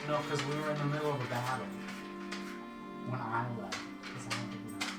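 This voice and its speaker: A man's voice: mid-range voice, steady tone, far from the mic. A man in a tabletop game group who hesitantly tries to recall the last session. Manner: halting, hesitant recollection. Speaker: player. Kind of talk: casual tabletop game chat. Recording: echoey room, off mic, loud background music.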